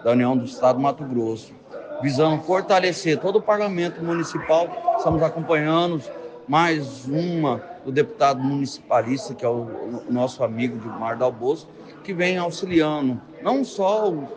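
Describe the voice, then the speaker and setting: A man in his thirties with a Brazilian Portuguese accent, giving formal, measured remarks in a council chamber. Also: on the high side, a crowd in the background, low-quality recording. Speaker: association president. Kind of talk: interview remarks.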